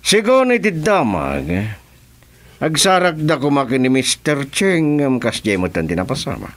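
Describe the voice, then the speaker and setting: A man acting a scheming, deliberate boss in a radio drama. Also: medium pitch, wildly swooping pitch, a bit bright. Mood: calculating.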